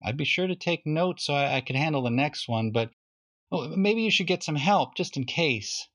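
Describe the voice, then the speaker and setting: A man's voice: on the high side, animated, moderate pace, a bit bright. A man playing a glum, plaintive young boy. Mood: resigned. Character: Johnny, glum young boy, slyly warning. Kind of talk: children's storybook reading.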